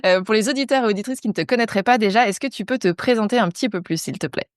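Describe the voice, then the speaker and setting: A woman's voice: medium pitch, fast talker, dry recording, studio quality. A woman hosting a podcast, talking in a friendly, chatty way as she interviews a guest. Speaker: podcast host. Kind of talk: casual interview chat.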